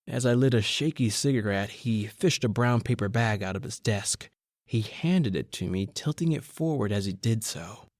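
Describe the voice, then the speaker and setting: A man's voice: on the low side, moderate pace, darkish tone, dry recording, studio quality, lightly acted. A man playing a nervous young reporter who narrates his own story in an earnest, intense 1940s radio drama style. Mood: brooding, fervent.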